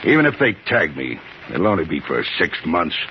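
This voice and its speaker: An actor playing a hard, vengeful gangster boss in a radio drama, tough, slangy and domineering. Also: male voice, low-pitched, sing-song, moderate pace. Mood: scheming, commanding. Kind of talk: 1950s radio drama acting. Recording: dull, noisy vintage 1950s radio recording.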